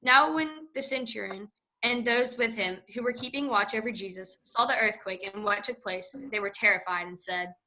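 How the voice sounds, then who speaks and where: A woman narrating a scripture passage in church, reading in a steady, clear way. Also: medium pitch, moderate pace, rough recording. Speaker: scripture reader.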